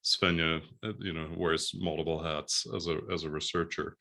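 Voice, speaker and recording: Very deep-voiced bright professor. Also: male voice, little pitch movement, moderate pace, creaky. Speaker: professor, panelist. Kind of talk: video call panel talk.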